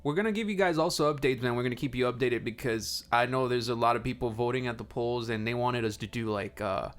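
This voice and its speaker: Male podcast co-host, medium pitch, laid-back delivery, informal slangy chat. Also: fast. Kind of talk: casual chat.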